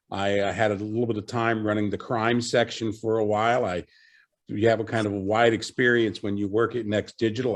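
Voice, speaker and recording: A middle-aged man from Virginia speaks in a lowish voice, introducing himself on a video call. He talks in a relaxed, loose way, full of fillers and restarts. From Virginia, USA. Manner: relaxed, rambling with many fillers. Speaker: panelist.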